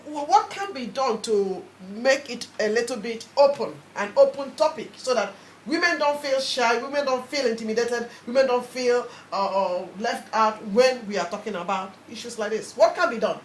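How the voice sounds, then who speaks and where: A woman's voice: a little high, slight background noise. Woman radio host in a roomy studio. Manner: brisk questioner, presses guests with repeated questions. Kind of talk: hosting a radio panel.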